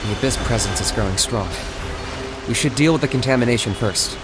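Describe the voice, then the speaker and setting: An actor playing a cool young warrior guide in an anime game, calm, terse and matter-of-fact. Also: male voice, medium pitch, a little fast, loud music behind the voice, close to an everyday voice. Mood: focused.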